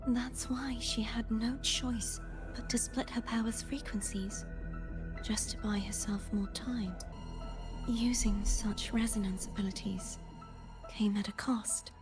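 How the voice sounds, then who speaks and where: A solemn maiden from a fantasy game. Her voice sits a little high, soft and breathy, with slow, measured gravity and a gentle resignation. Music plays beneath her. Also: steady tone. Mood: grave, weary. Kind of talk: video game voice acting, dramatic monologue. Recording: game dialogue over music.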